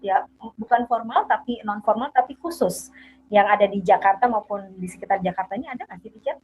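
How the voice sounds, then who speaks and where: Female interview host, mid pitch, polite and filler-heavy, muffled lo-fi sound, faint hiss. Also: a little fast. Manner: polite, summarizing, many fillers. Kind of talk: live interview. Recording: dull lo-fi online audio, faint noise.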